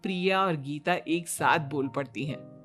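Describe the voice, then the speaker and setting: A woman's voice: sits low, unhurried, a bit bright, faint music behind. A woman reading scripted narration for a moral cartoon story as its narrator.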